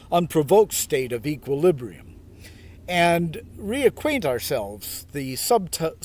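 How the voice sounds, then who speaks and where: Slow-talking man with lively, swinging pitch. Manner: halting, wry, laid-back asides. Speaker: self-styled priest, meditation video host. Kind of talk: reading aloud with informal reflective commentary. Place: outdoors in a park.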